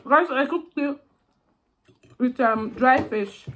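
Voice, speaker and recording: Nigerian lady eating on camera, hums mm mm a lot, high voice, faint hiss behind. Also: a bit bright. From southeastern Nigeria. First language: Igbo. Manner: slow, savoring, with drawn-out hums between bites. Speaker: food vlogger. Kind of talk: mukbang eating vlog.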